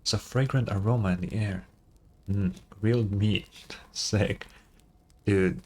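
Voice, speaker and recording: A man doing casual reaction commentary as a game streamer, thinking aloud haltingly and swearing casually. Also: fairly low pitch, steady tone, a little mellow.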